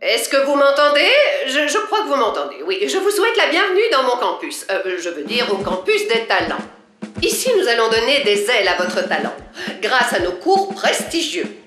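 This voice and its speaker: A woman's voice: on the high side, sing-song, normal speed, fairly bright tone, echoey room, faint music behind. A woman voicing a strict, self-important adult campus director in a cartoon, announcing the rules in a pompous manner. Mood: stern.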